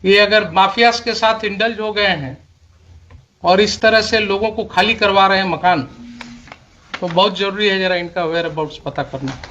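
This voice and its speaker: A man's voice: high-pitched, moderate pace, bright, forceful tone. An Indian man speaking as a judge in court, questioning and giving directions in a stern, insistent, reprimanding manner. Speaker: judge presiding over a court hearing. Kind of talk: courtroom questioning and oral directions, mixing Hindi and English. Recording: muffled, noisy courtroom recording.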